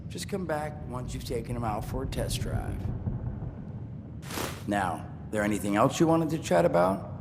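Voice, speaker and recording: An actor playing a wisecracking male ghoul trader in a video game, talking in a joking, streetwise drawl with a gravelly voice. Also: mid-range voice, lively intonation, normal speed, pauses often. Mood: easygoing. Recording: game audio with long reverb and noise.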